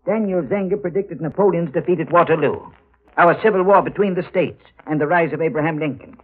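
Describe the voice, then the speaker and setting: An actor playing an earnest old town librarian with formal, halting, bookish diction in 1940s radio drama style. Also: male voice, fairly high pitch, breathy edge. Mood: excited, earnest. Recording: muffled vintage radio recording.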